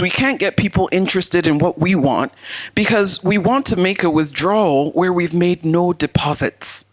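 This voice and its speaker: A woman with a deep voice giving a motivational masterclass talk to an audience, speaking in a deliberate, emphatic way. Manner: deliberate, emphatic, uses rhetorical questions and repetition. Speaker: masterclass speaker, leadership coach.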